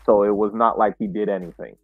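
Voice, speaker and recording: A man hosting a casual soccer podcast discussion, speaking haltingly and repeating words as he reflects. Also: fairly low pitch, fairly even intonation, faint music behind. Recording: muffled, roomy sound.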